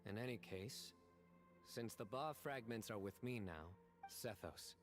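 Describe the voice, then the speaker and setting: Low-voiced, composed young general. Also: male voice, lively intonation. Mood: calm, measured. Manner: measured, formal, precise. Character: composed, thoughtful young male general, reserved and formal. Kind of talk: video game dialogue. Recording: game audio over background music.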